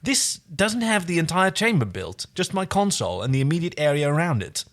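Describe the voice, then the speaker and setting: A man voicing a reserved, curious alien pilot creature with an attempted New Zealand accent and a dry, measured manner. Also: a little high, very animated, a bit bright, pitched above the performer's own voice. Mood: mildly disappointed.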